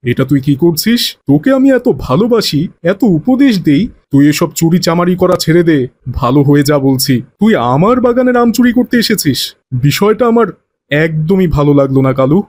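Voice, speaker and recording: A man plays a righteous village father in a moral cartoon. His pitch is a little high for a man. He lectures in a measured, stern but forgiving manner. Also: sing-song, darkish tone. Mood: disapproving.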